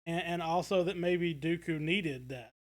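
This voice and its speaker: A man's voice: a little high, a little slow. A man co-hosting a casual discussion podcast, speaking hesitantly as he thinks his points through aloud. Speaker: podcast co-host.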